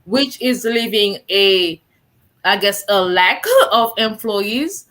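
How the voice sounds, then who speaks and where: Woman show host, thirties, bright tone, easy chatty delivery. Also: medium pitch, takes it slowly. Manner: warm, chatty, many fillers. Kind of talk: live online talk show.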